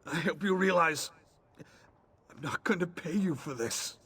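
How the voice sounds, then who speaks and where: A man's voice: a little high, a little slow, bright, forceful tone, slightly rough, exaggerated voice. A man voicing a dying male merchant who is bitter and scheming, speaking in an accusing way, as AI-voiced game dialogue.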